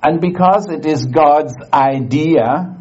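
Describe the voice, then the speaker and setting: Slow, halting male preacher, dull recording. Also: on the high side, a little mellow. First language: English. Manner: halting, many fillers and restarts. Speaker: guest preacher. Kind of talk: sermon with interpreter, short phrases.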